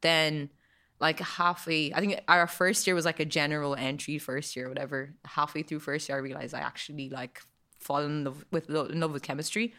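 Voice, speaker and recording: A young Irish woman with a low voice and a bright, forceful edge. She talks in a chatty, casual way, with plenty of fillers and easy laughter. She is a guest in a relaxed interview. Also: a little fast. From Ireland. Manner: chatty, lots of likes and ums, laughs easily.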